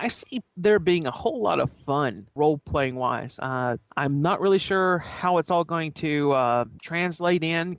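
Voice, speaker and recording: A man's voice: fairly high pitch, no echo. Man in a casual panel chat, dry sound. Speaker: podcast panelist.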